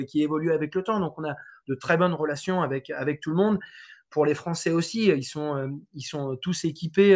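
A man from France with a fairly high voice answers interview questions in relaxed conversation. He hesitates a lot, filling gaps and restarting his sentences as he explains. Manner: hesitant, many fillers and restarts. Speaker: Trackman representative on golf tours.

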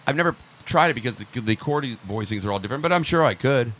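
A man co-hosting a talk show, bantering and telling jokes in a loose, animated way. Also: medium pitch, fast, a little hiss or hum. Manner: joking, animated storyteller. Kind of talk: casual banter.

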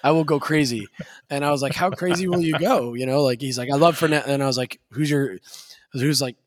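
A man co-hosting a podcast in casual chat, with a slightly raspy voice, laughing often and restarting his sentences. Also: mid-range voice, a little fast, no echo, clean studio sound. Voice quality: a little raspy. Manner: loose, laughing storyteller with many restarts. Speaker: podcast co-host.

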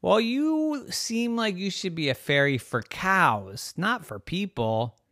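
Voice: sing-song, slow pace, a bit bright, no echo, studio quality, heavily acted. A storyteller, a man, voicing a young girl who is blunt, skeptical and complaining. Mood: disgusted. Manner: high, exclaiming, complaining.

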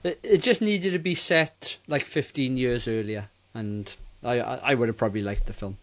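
A man's voice: medium pitch, moderate pace, fairly bright tone. A man in his forties co-hosting a casual film review podcast, talking in a rambling, hesitant way. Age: 40s.

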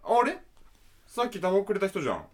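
A man's voice: high-pitched, huge pitch swings, fast talker, heavily acted. A man playing a flashy, irritable slacker with a curt, slangy way of talking in a radio drama. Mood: annoyed. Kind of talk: Japanese radio drama acting.

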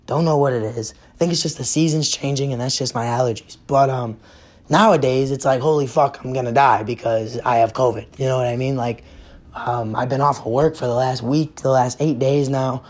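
A hoarse young man, hosting a casual sports podcast and ranting bluntly with lots of swearing while losing his voice. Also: medium pitch, steady tone, brisk, a little mellow. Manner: profane, blunt, fired up.

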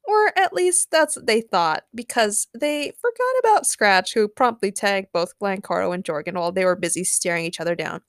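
A woman narrating a comic story from a script in a dramatic, expressive way, as a podcast host. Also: medium pitch, normal speed, few pauses, no room sound, studio quality.

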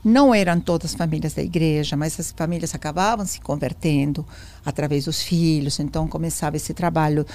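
A woman's voice: deep voice, fairly quick. A woman in her sixties or older who speaks Portuguese with a foreign accent, telling her story as an interview guest and acting out the dialogue she quotes. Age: 60s or older. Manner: animated storyteller, acts out quoted dialogue, repeats phrases.

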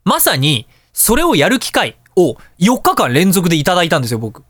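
A man hosting a radio show, telling anecdotes in a casual, chatty, animated way. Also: high voice, a little fast, no echo, clean studio sound. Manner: animated, chatty storytelling with colloquial asides.

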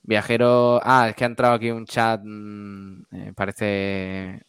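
Guy doing jokey live radio chat, reads out messages, clean smooth voice, dry close sound. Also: on the low side, monotone, takes it slowly, fairly bright tone, no room sound. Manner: jokey, colloquial banter. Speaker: radio show co-host reading listener messages. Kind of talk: casual live chat, reading out comments.

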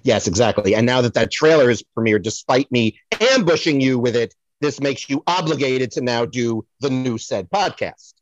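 A man's voice: mid-range voice, moderate pace, no echo. A man co-hosting a comedic podcast skit with a hesitant, needling manner, on a dull, dry microphone. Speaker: podcast co-host and showrunner.

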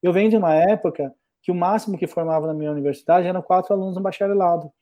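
A man's voice: high-pitched, very fast, dull audio. A middle-aged man from Brazil, a mathematics professor, talking reflectively in a casual conversation with many restarts.

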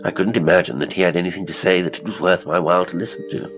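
A man's voice: deep voice, fairly even intonation, racing, music in the background. A man voicing a proud, rattled aristocratic statesman in an audiobook, with a haughty, disdainful way of telling his story. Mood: uneasy. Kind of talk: audiobook character reading.

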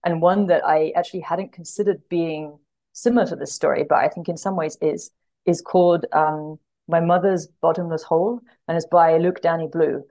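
A woman speaking casually as a podcast guest in an interview, thoughtful and halting as she works out her phrasing. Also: low voice, steady tone, warm tone. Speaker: podcast guest, literary translator.

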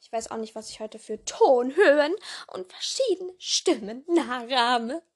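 A young woman with a hoarse voice hosting a casual podcast in a rambling way, full of fillers and self-corrections, jumping between voices. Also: high-pitched, expressive, measured pace. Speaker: podcast host.

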